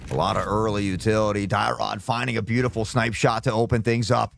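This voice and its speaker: A male esports caster with a slightly sore throat, calling play-by-play and erupting into loud shouts on big plays. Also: lowish voice, little pitch movement, fairly quick, vocal fry, no echo.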